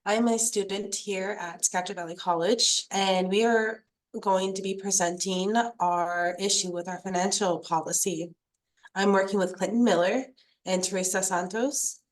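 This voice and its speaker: Estudante apresentando em videochamada, mulher. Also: female voice, mid-range voice, fairly even intonation, moderate pace, poor audio. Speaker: student presenter. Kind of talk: presentation on a video call.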